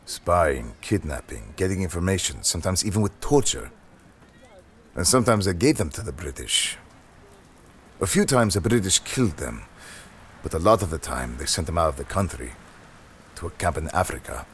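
A man voicing a soft-spoken older man recounting a painful family history. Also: sits low, lively intonation, normal speed, long pauses, a little hiss or hum. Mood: somber.

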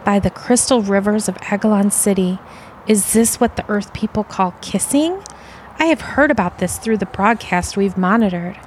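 A woman's voice: mid-range voice, normal speed, slight background noise, lightly acted, poor audio. A woman playing an earnest, serene alien envoy in an audio play, with stilted, formal phrasing. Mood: wondering.

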